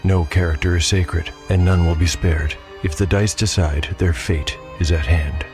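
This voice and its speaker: A man hosting and narrating a scripted dark fantasy tale in a measured, solemn storytelling manner. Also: low-pitched, steady tone. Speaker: host and narrator. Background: background music.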